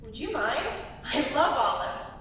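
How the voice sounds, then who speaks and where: A woman's voice: sits high, very animated, measured pace, a bit bright, full character voice. A woman playing a friendly, scatterbrained and chatty traveller in a staged script reading. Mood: bubbly, friendly. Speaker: student actor. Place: large indoor room at a live staged reading. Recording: echoey hall from far off, faint noise.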